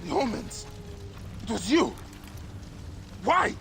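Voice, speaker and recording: An actor playing an enraged, grieving warrior father in a game, shouting and snarling with a strained voice. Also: extremely high pitch, big pitch swings, takes it slowly, creaky, music in the background, heavily acted. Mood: furious. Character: enraged, grief-stricken warrior father bent on revenge. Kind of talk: video game cutscene acting.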